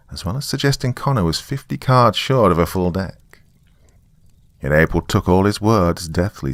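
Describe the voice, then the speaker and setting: A man's voice: sits low, no echo, clean studio sound. A man playing a weary, frightened writer who narrates a horror story in musing, wry asides. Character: weary, frightened male writer recording a confession on tape.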